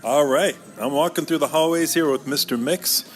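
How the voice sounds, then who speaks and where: A man's voice: fairly high pitch, moderate pace, loud music behind the voice. A man hosting a casual interview in a conversational style, putting questions to a guest. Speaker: school podcast host and interviewer.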